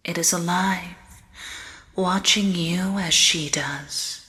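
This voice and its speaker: Woman voicing a calm eerie doll, lowish for a lady, slow and solemn, long reverb on it. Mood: calm warning. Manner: soft, measured, solemn, uneasy undertone. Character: calm, eerie living doll guide, female. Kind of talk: gothic audio drama acting.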